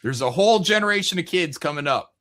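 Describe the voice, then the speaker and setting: A man's voice: fairly high pitch, animated, fast, fairly bright tone. A middle-aged man talking casually and emphatically in an opinionated pop culture debate, swearing and repeating his points. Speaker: livestream host.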